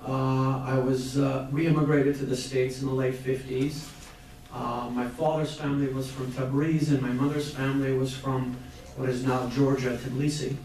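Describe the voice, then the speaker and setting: An older man, born in Iran, moderating a university panel in a measured, hesitant way with many fillers. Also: medium pitch, level tone, darkish tone. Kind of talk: public panel talk. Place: university lecture hall. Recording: echoey hall from far off.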